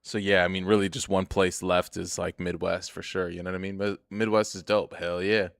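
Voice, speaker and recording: A man's voice: deep voice, flat delivery, brisk, creaky, no echo, clean studio sound. A young man streaming a game, rambling and swearing as he chats to viewers about himself. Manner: rambling, profane, chatty, often laughs. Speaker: gaming streamer. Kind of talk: casual gameplay commentary.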